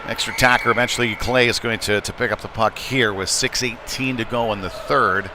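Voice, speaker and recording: A man's voice: mid-range voice, steady tone, fairly quick, noisy background. A man giving live play-by-play commentary of a youth ice hockey game, with conversational, folksy asides.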